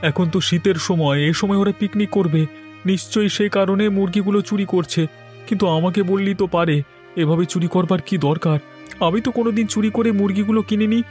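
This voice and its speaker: A man's voice: high-pitched, fast talker, a little mellow, soft background music, natural voice. Man voicing an anxious, pleading village husband. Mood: wailing complaint. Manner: plaintive, imploring. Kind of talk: cartoon story voice acting.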